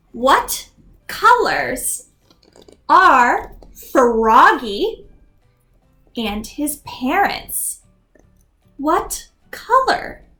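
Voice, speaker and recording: Slow, lively woman reading to kids. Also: sits high. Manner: slow, expressive, animated sound effects. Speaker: teacher. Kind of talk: read-aloud storytelling for young learners.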